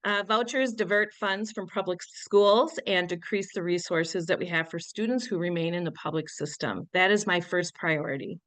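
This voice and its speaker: A woman's voice: on the low side, a bit bright, no room sound. Sniffly woman candidate with a cold. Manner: firm, earnest and measured. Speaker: candidate for state senate. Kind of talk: answering questions at a candidate forum.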